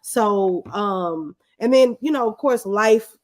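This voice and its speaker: A woman's voice: medium pitch, takes it slowly, a little mellow. A woman speaking as an interview guest, telling personal stories in a casual, conversational way. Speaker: interview guest, small business owner.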